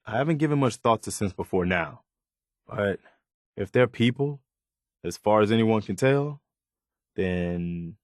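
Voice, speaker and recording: An actor playing a principled, loyal and weary young militia soldier in a video game, speaking sincerely and plainly. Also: male voice, mid-range voice, no echo, studio quality, lightly acted. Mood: thoughtful, concerned. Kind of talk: video game companion dialogue.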